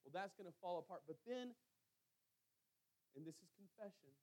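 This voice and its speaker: Male preacher, high-set voice, sermon delivery that leans on repetition and weighted pauses for emphasis. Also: animated, darkish tone, rough recording. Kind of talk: sermon with scripture reading and storytelling.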